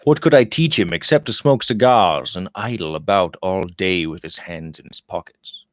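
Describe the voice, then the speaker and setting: A man's voice: sits low, moderate pace, no room sound, studio quality, close to an everyday voice. A man reading the part of a bitter, grieving young former cavalryman in a heavy, resigned manner from a storybook. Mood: troubled.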